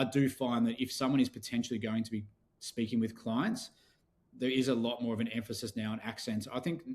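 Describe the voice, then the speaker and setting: A man being interviewed as a company founder on a podcast, explaining things in a steady, practical way. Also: medium pitch. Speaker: podcast guest, business founder. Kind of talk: interview conversation.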